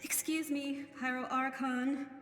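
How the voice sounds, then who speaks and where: Reverberant, fairly high female voice, playful sporty young game character, affectionate, soft music. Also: flat delivery, measured pace. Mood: elated, playful. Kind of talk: video game voice acting. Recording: echoey reverberant hall effect.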